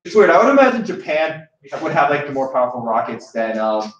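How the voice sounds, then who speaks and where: A man's voice: mid-range voice, very animated, brisk. A young man from Hawaii hosting a casual chat, rambling with many restarts and fillers. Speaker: host. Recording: echoey room, distant mic, dull sound.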